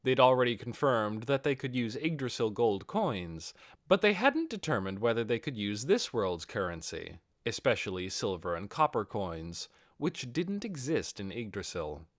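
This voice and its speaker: A man reading a fantasy novel aloud as expressive scripted audiobook narration. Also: medium pitch, moderate pace, no room sound, clean studio sound. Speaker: audiobook reader.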